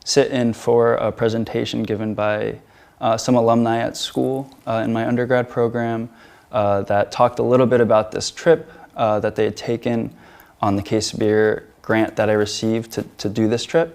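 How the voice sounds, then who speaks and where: A young American man with a low, gravelly voice answers questions about his art and travels. He talks casually and hesitantly, circling back and filling pauses with "um" and "uh.". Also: little pitch movement, a little mellow. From United States. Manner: hesitant, many fillers and restarts. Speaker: featured artist, guest.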